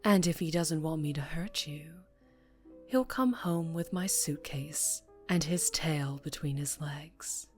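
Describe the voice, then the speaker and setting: A woman playing a dangerously charming female mafia boss in a scripted ASMR roleplay, breathy and often near a whisper, teasing and softly threatening. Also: sits low, slow, faint music behind. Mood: calm threatening.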